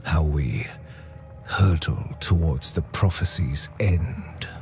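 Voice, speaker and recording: A grave, ancient seer weighed down by what he has foreseen. The voice is very low and unhurried, telling its story solemnly as in a dark fantasy game cinematic, with music clearly behind it. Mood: solemn, burdened. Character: weary, grave ancient male prophet burdened by foresight. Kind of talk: dramatic game cutscene narration.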